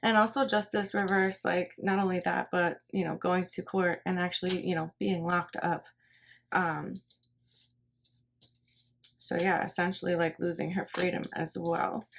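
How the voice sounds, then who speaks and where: A woman with a fairly low voice talks through a tarot reading in a casual, rambling way. She thinks aloud and circles back over her phrases. There is clear background noise, with cards shuffling and clicking. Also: level tone, measured pace. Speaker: tarot reader.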